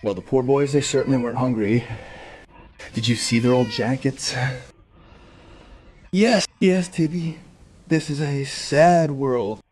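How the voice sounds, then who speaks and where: A man playing a kindly, lonely old man who mutters gently to his cat and pleads, in an audio drama. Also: mid-range voice, unhurried, pauses often, a little hiss or hum, close to an everyday voice. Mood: resigned. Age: older.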